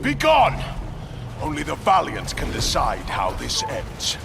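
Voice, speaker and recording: Wildly animated, high, proud warrior lord. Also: male voice, takes it slowly, vocal fry, over music, exaggerated voice. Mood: defiant. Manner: theatrical, haughty. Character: proud, defiant battle-hungry male warrior lord. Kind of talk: dramatic game cutscene acting.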